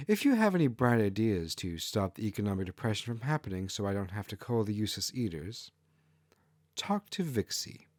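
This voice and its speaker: A man's voice: on the low side, no room sound, studio quality. A man voicing an arrogant, callous female fox kitsune ruler in a haughty, dismissive manner for a fantasy story.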